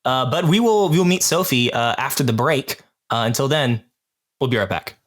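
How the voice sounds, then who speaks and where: A man hosting a podcast in an informal, appreciative and slightly halting way. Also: medium pitch, lively intonation, fairly bright tone. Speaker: podcast host. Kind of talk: casual hosting chat.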